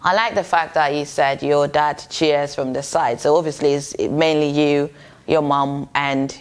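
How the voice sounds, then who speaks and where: A woman's voice: low voice, fairly even intonation, a little slow, smooth. A woman from northern Ghana hosting an interview, asking encouraging questions with frequent murmurs of agreement. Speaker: interview host. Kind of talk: casual interview.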